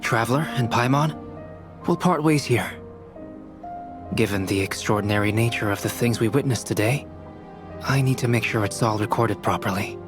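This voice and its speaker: A man voicing a calm, scholarly young alchemist in a video game, with measured, formal speech. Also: medium pitch, lightly acted. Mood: composed. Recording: music behind the voice.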